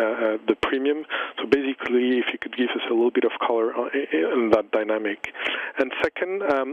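A man asking formal analyst questions with halting phrasing over a telephone conference line. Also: mid-range voice, dull audio. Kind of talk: formal question on an earnings call.

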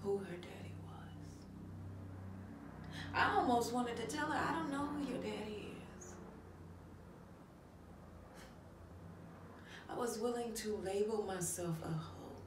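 A woman's voice: medium pitch, moderate pace, close to an everyday voice. Echoey, wounded young mother, played by a woman. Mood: hurt, confessional. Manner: raw, emotional, breathy drops into near-whispers. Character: embittered, wounded young mother confronting her child's absent father. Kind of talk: dramatic stage monologue performance. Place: echoey room. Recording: echoey room, distant mic, faint noise.

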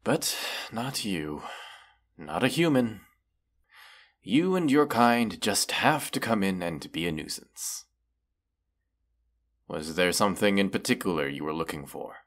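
A man's voice: low-pitched, sing-song, fairly bright tone, full character voice. A man playing a proud, menacing, weary dragon who distrusts humans, talking haughtily in a fantasy audio roleplay. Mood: scornful. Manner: slow, deliberate, haughty, with long pauses. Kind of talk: scripted audio roleplay monologue.

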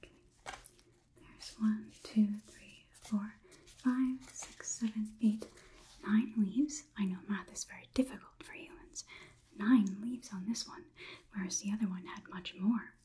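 A young woman performing an ASMR roleplay as a calm robot overlord, whispering in a measured, inquisitive, slightly condescending manner. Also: mid-range voice, close to an everyday voice. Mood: calm, explanatory. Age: young adult. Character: calm, clinical robot overlord examining a human. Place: small indoor room.

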